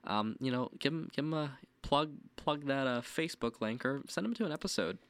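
A man's voice: medium pitch, brisk, a bit bright, smooth, no room sound. A young man co-hosting a podcast who sounds slightly nasal from a sore throat and chats in an easygoing, promotional way. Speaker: podcast co-host. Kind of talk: casual chat.

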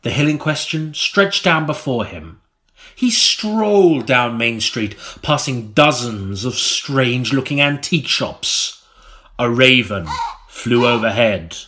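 A man reading a mock-serious gothic story as an audiobook narrator, with a melodramatic, deliberate delivery. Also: medium pitch, animated, pushed and bright. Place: recording studio.

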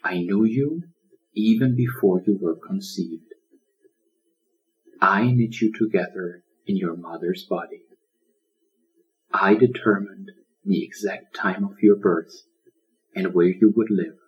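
A man voicing a wise, gentle old lion in a storybook, speaking in a loving and welcoming manner. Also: sits low, slow, pauses often, dull audio. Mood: loving, solemn.

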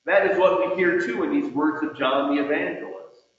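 Guy giving a sermon, slow n deliberate w pauses, muffled sound, tons of echo. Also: fairly high pitch, fairly bright tone. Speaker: preacher. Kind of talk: sermon with scripture and quoted readings. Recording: muffled, very echoey hall with long reverb.

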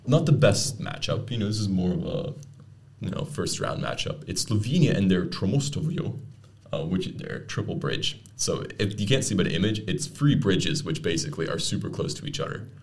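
A man's voice: deep voice, lively intonation, fairly quick, darkish tone. A young man co-hosting a podcast, chatting casually and sharing fun facts with growing enthusiasm. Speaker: podcast co-host. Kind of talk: casual chat.

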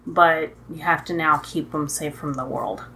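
A middle-aged woman, the host's mother, talking as a guest on a casual podcast, with measured, dry remarks. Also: low voice, vocal fry, sharp tone, low-quality recording.

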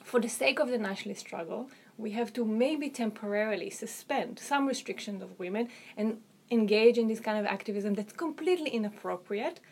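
A woman with an Israeli accent, an author answering interview questions about her research in an articulate, thoughtful way, often pausing with "um" and restarting phrases. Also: fairly high pitch, a little mellow, breathy edge. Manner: articulate, hedging with um and you know, frequent restarts.

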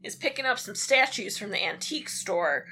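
A woman co-hosting a show, casually recapping a story in an animated way and acting out bits of dialogue. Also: mid-range voice, brisk, a little hiss or hum, low-quality recording. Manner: animated, acts out characters' lines in retelling. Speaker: podcast co-host. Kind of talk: casual episode recap chat.

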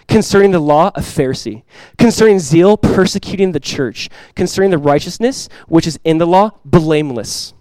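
A man's voice: sits high, darkish tone, no echo, rough recording. A pastor giving a conversational, earnest sermon, asking his listeners rhetorical questions. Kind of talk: expository sermon.

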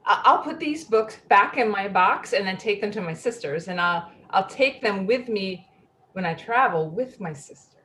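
A woman's voice: medium pitch, expressive, normal speed, a bit bright, slight background noise, lightly acted. Woman acting a naive, eccentric, swoopy-voiced woman. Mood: wistful, determined. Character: naive, eccentric woman upset about a talking icebox. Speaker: actor in a staged play reading. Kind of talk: readers theatre acting.

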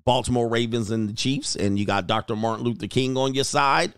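A man's voice: mid-range voice, a little fast, a bit bright, clear, resonant tone, dry recording, studio quality. A man hosting a casual livestream as a dating coach, reading viewer comments aloud in a joking, rambling way and laughing often. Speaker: dating coach and show host.

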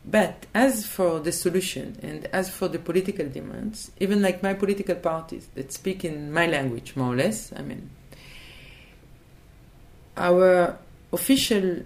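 A woman's voice: fairly low pitch, measured pace, vocal fry, a little mellow. A woman from Israel, answering in a thoughtful interview and hesitating and restarting as she looks for words. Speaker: interview guest.

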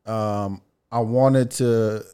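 A man's voice: on the low side, extremely slow, smooth. A middle-aged man who grew up in France and speaks English as a second language, telling stories in a casual podcast interview. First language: French. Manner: animated, restarts phrases, laughs easily. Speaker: podcast guest.

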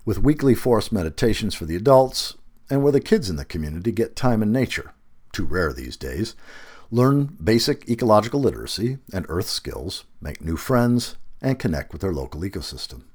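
A man reading a reflective essay aloud as scripted narration, with measured phrasing and deliberate emphasis. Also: sits low, husky.

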